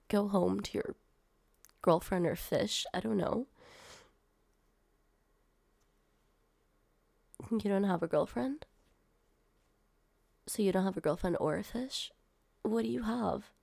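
A young woman playing a guarded young woman who confides in her new driver, speaking softly in a half-whisper to the listener. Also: medium pitch, creaky, no room sound. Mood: teasing. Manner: soft, hushed, half-whispered delivery. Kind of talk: one-sided audio roleplay addressing the listener.

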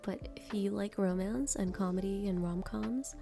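Whispering young woman, softly congested. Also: mid-range voice, fairly even intonation, moderate pace, creaky, soft background music. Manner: soft whisper, chatty with fillers. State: slightly congested. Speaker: podcast-style rambler. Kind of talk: whispered casual ramble.

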